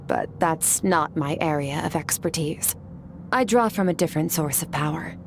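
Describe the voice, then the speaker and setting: A cool, aloof swordmaster mentor. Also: female voice, low-pitched, vocal fry, noisy background. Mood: composed. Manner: calm, measured, matter-of-fact. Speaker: combat trainer.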